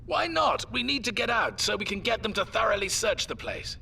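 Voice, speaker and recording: An actor playing a wry, plucky old grandfather in an audio drama, dry and gently defiant in manner. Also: high voice, animated, brisk, vocal fry, a little hiss or hum, exaggerated voice. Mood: confused, urgent. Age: older.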